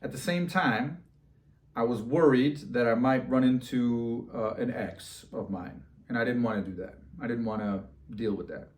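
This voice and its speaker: A man telling a story in a casual, slangy, animated way, acting out bits of dialogue as he goes. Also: medium pitch, moderate pace. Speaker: storyteller and host.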